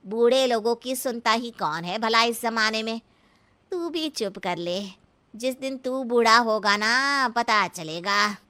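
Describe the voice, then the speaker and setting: An elderly village mother-in-law for a cartoon story, very sharp and high. She is cheeky and stubborn, drawing out her folksy scolding with big swoops in pitch. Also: animated, slow, heavily acted. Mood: indignant scolding. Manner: literal-minded, scolding, folksy. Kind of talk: exaggerated comic cartoon story acting.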